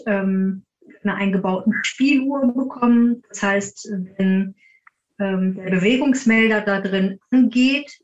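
A woman's voice: mid-range voice, measured pace. Woman interview guest, slightly dull recording. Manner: explains in detail with examples. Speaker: podcast guest, paranormal investigator. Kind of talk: conversational interview answers.

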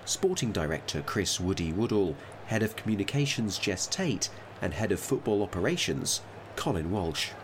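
A man's voice: low-pitched, big pitch swings, normal speed, background noise. An actor playing a male football commentator delivering a broadcast match update in a drama. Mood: factual.

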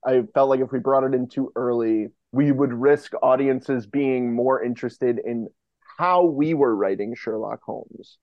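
A man's voice: mid-range voice, unhurried, no echo. A man answering as an interview guest, conversational and analytical, building his points emphatically in parallel phrases, on a dull-sounding recording. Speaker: podcast guest, fiction podcast co-creator.

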